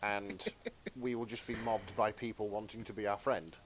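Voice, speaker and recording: A man's voice: on the low side, steady tone, moderate pace, a little hiss or hum. A British man co-hosting a podcast in casual comedic chat, with a wry, self-deprecating manner. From Britain. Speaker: podcast co-host.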